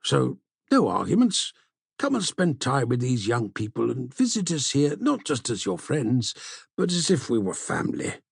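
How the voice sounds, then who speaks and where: A man voicing a very old, sociable father in an audiobook, speaking in an animated, courteous and insistent manner. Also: medium pitch, normal speed, creaky, breathy edge, no echo, studio quality, full character voice. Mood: welcoming, insistent. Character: very old Greek father, sociable, welcoming host.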